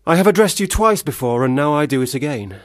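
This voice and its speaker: An actor playing a troubled, self-doubting young man who drinks, theatrical, swinging between loud protest and muttering in a radio play. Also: on the high side, lively intonation, fast, no room sound, clean studio sound, natural voice. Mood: rambling, anxious.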